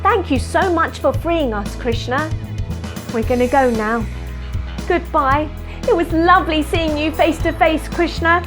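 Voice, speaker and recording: Lady doing excited celestial brothers in a kids' story, high n gushing, music behind. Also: a little slow. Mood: grateful, gushing. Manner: gushing, breathless gratitude. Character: two excited, grateful celestial brothers freed from trees. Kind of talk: children's storytelling.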